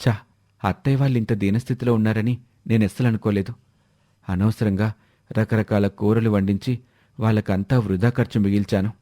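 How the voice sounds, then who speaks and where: A man's voice: lowish voice, fairly even intonation, moderate pace, pauses often, dark tone, close to an everyday voice. A man doing an earnest young son-in-law.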